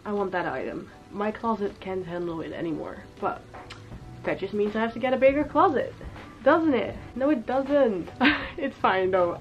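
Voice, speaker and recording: A woman's voice: mid-range voice, highs cut off. A young woman in her twenties with a cold that makes her sound a bit nasal, chatting casually and giggling as she shows clothes in her bedroom. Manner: chatty, giggly, self-correcting. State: has a cold. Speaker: fashion vlogger. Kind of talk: casual try-on haul chat. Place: bedroom, sitting on a bed. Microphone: camera in front, upper body framing.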